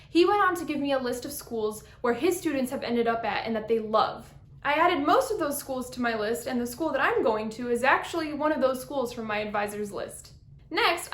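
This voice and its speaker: A woman's voice: a little high, reverberant. A young woman from Brazil giving scripted advice in a vlog, upbeat with playful asides. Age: young adult. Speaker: vlogger.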